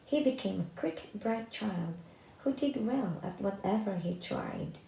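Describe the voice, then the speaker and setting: A woman's voice: mid-range voice, expressive, a little slow, vocal fry, dark tone, roomy sound, a little hiss or hum, poor audio. A woman storyteller reading a children's tale aloud as scripted narration.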